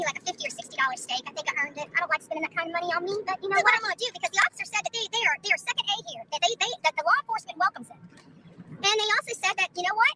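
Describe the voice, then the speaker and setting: Very high-pitched, combative woman ranting. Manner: combative, taunting, sweary. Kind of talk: confrontational phone-video rant. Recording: distant, noisy street recording with vehicles.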